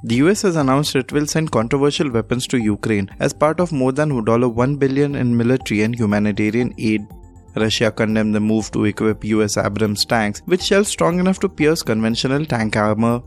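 A man with an Indian accent reading scripted news as a presenter in a formal, measured manner. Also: mid-range voice, faint music behind.

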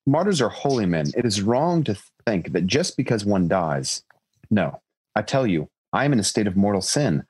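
A man's voice: on the low side, sing-song, moderate pace, darkish tone, breathy edge. A man voicing a guilt-ridden drunken priest in a prison cell, earnest and self-reproaching.

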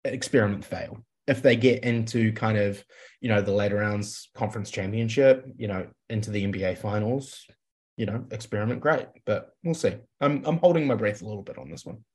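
A New Zealand man talks casually as a panelist on a sports podcast. His pitch is on the low side, and his delivery is informal and conversational. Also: brisk, a little mellow.

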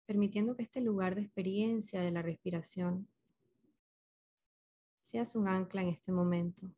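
A woman leading a guided meditation as a facilitator, speaking gently and unhurriedly with pauses between short instructions. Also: mid-range voice, steady tone.

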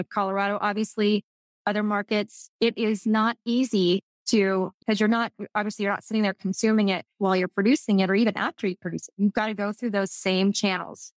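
A Texan woman speaking as a guest, thoughtful and earnest as she works through her points. Her pitch is average, and the recording is dry and close-miked. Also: no room sound. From Texas. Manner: thoughtful, earnest, some restarts. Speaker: guest, food manufacturing executive. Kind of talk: casual interview chat.

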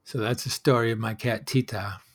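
A man's voice: medium pitch, steady tone, moderate pace, breathy edge. Reflective man telling stories as a host. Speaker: host of a solo storytelling show. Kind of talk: personal storytelling monologue.

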